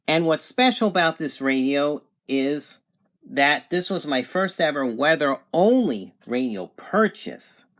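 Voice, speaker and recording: A man giving an unscripted product demonstration in a halting, matter-of-fact way. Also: medium pitch, fairly bright tone, no room sound, clean studio sound. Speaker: product reviewer.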